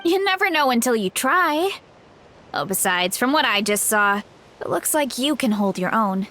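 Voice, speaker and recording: Giggly, high-voiced young warrior. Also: female voice, lively intonation, moderate pace, sharp tone, a little hiss or hum. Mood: easygoing. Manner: easygoing, giggly, a little absent-minded. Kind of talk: game voice acting. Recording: game audio with faint music.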